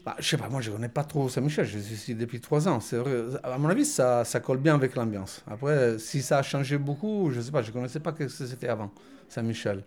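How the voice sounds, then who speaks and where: A man from Rome, a native Italian speaker with a Roman accent, telling stories in a loose, animated way as an interview guest. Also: mid-range voice, a little fast. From Rome, Italy. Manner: animated storytelling, loose grammar, restarts. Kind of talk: casual interview talk.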